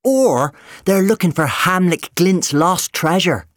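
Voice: mid-range voice, big pitch swings, brisk, no echo, studio quality, pitched above the performer's own voice, lightly acted. A storyteller voicing an eager, stubborn young boy who dreams of space, in an audiobook. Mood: keen, informative.

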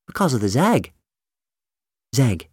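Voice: mid-range voice, very animated, slow pace, a little mellow, husky, dry recording, clean studio sound, voice pushed up, exaggerated voice. A storyteller voicing a frank, sceptical woman who works in a pub, in an audiobook. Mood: explaining.

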